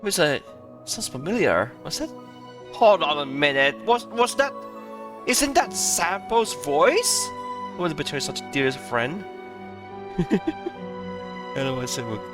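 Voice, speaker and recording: Slow, animated male gamer commentating. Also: on the high side. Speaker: gamer playing and reacting. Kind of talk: casual let's play commentary, reading game text aloud. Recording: game music behind the voice.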